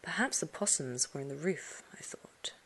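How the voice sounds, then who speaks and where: A woman reading a children's suspense story aloud, expressively, with dramatic hushed moments. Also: low-pitched, lively intonation, moderate pace, breathy edge, in a whisper. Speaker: audiobook narrator. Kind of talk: dramatic first-person storybook narration for children.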